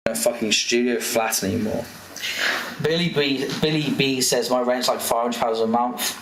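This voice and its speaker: A man's voice: medium pitch, steady tone, moderate pace. Sweary British man, echoey room. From United Kingdom. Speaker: streamer commenting on another stream. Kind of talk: casual live commentary. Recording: roomy echo with faint noise.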